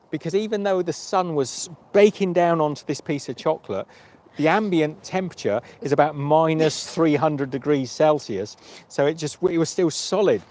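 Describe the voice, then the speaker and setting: A man's voice: on the high side, normal speed, darkish tone. A man from Australia chatting casually on a travel livestream, rambling and correcting himself. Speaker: vlog host.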